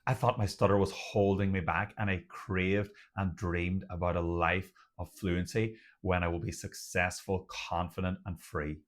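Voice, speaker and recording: Deep-voiced Irish man who stutters. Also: fairly even intonation. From Ireland. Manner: stutters, slow and deliberate with pauses. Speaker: doctor and channel host. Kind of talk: personal talk to camera.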